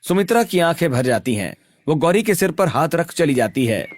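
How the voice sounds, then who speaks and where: A man narrating a scripted animated story. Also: on the high side, moderate pace.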